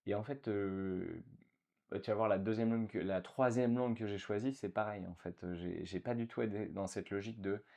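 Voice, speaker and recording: A man's voice: fairly low pitch, steady tone, normal speed, gravelly, mellow. A man in his thirties from France, a guest in a casual interview, talking with many fillers and restarts.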